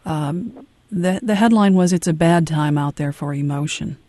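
A soft-spoken interviewer asking careful, polite questions. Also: medium pitch, moderate pace, no echo.

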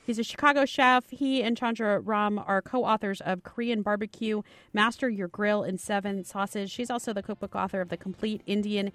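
A woman hosting a radio talk show, asking her guests questions and leading into a break in a smooth, clear announcing manner. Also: medium pitch, steady tone, normal speed, few pauses, a bit bright, no room sound. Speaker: radio host.

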